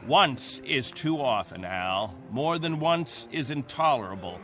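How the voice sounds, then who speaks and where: A man acting in a radio drama as a stern, commanding captain, speaking with measured, sardonic authority. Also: medium pitch, expressive, a bit bright. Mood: stern reproach. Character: stern, commanding male captain of a stranded settlement.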